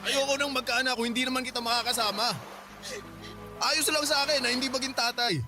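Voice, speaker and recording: An actor playing a devoted, steadfast husband in a Filipino radio drama, speaking earnestly and pleadingly. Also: male voice, very high-pitched, fairly even intonation, fast, over music.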